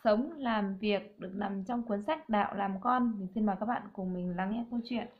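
Fast-talking woman vlogging on lo-fi audio. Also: mid-range voice. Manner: scripted greeting. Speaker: book reviewer. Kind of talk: vlog intro. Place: small room indoors.

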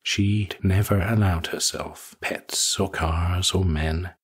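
A man narrating a scripted short story for an audiobook, with a husky, rough-edged voice. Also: low-pitched, steady tone, slow pace.

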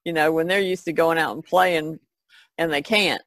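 A woman's voice: sits low, steady tone, moderate pace, a bit bright, dry recording. An older woman chatting casually as a podcast co-host. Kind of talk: casual chat.

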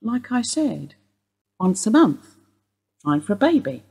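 An actress playing an older woman being questioned by police, guarded and wry in a husky voice. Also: mid-range voice, sing-song, slow, mellow, exaggerated voice. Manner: guarded, dry, wistful. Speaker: police interview suspect. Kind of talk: radio play acting.

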